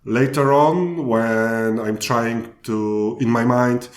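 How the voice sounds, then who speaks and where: A man with a Polish accent answering interview questions in unhurried, halting English, starting sentences over now and then. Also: mid-range voice, fairly even intonation, roomy sound. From Poland. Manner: slow, halting answers with restarts. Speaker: guest speaker. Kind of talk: podcast interview.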